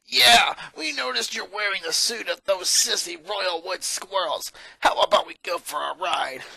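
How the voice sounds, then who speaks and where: Man playing a bright-voiced, taunting boy bully. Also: sits high, moderate pace, vocal fry, dry recording, exaggerated voice, low-quality recording. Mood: threatening. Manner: taunting, scheming. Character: smug, menacing teenage boy bully. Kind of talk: dramatic fan fiction reading.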